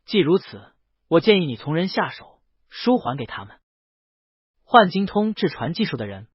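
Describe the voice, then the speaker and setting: Man playing a shrewd, worldly auction lord, lively pitch. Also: moderate pace, slightly rough, no room sound, lo-fi sound, exaggerated voice. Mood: wry amusement. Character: Fang Zijing, worldly male auction-house lord, shrewd and teasing.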